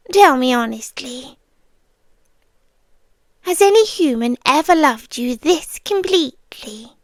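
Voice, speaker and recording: Woman acting a high-voiced, possessive British vampire girlfriend. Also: no room sound, studio quality, full character voice. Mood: intense, obsessive. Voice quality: soft, breathy, often slipping into whispers. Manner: slow, coaxing, lingering delivery. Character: possessive, lovesick immortal vampire girlfriend, tender yet menacing. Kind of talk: ASMR girlfriend roleplay, close and intimate.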